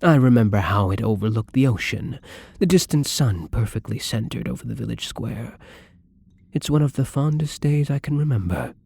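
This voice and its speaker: Husky, creaky, soft-spoken vampire played by a man. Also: medium pitch, animated, no echo. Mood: wistful, fond. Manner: soft, tender, halting, wistful. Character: lonely, gentle, wistful male vampire captive in castle. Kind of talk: intimate ASMR roleplay acting.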